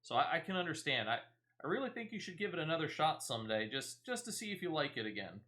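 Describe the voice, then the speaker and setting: A man's voice: a little high, big pitch swings, quick pace, sharp tone. A man who streams games, chatting casually and conversationally with a viewer while he plays. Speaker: game streamer. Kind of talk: casual live-stream chat while playing a game.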